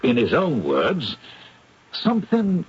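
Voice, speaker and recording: Husky British police superintendent, colloquial and respectful, swinging pitch, old radio drama on a dull recording. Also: male voice, wildly swooping pitch, slow, full character voice. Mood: confiding. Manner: colloquial, deferential, hesitant phrasing. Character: deferential, wordy, down-to-earth British police superintendent. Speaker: actor in radio mystery.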